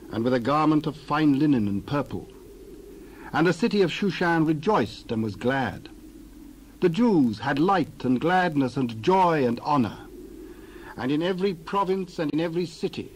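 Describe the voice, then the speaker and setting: A man narrating a dramatized scripture reading in a measured, formal delivery. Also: a little high, darkish tone, a little hiss or hum, low-quality recording.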